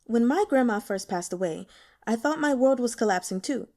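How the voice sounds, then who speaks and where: A woman voicing a shy, awkward young man who speaks gently, haltingly and sincerely in an audiobook. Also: a little high, expressive. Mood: earnest consoling. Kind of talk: audiobook character dialogue.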